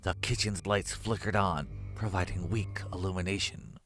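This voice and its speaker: A man's voice: deep voice, fairly even intonation, normal speed, a bit bright, faint music behind. A man narrating a scripted horror story.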